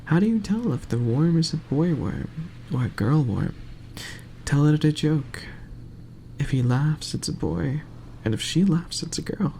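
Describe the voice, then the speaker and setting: A man reading aloud in a soft ASMR bedtime style, hushed and often slipping into a whisper. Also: fairly high pitch, animated, a little slow, vocal fry, slight background noise.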